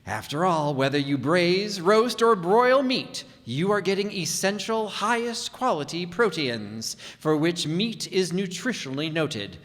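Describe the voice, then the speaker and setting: A man playing an old-time radio announcer and narrator, speaking in a deliberate, theatrical and emphatic way. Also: sits high, sing-song, fairly bright tone, exaggerated voice. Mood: hearty salesmanship. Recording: echoey hall stage performance.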